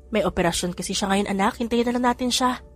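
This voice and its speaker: A woman's voice: mid-range voice, quick pace, soft background music, close to an everyday voice. A woman voicing an earnest young mother of triplets who speaks in sincere, questioning tones. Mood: gentle.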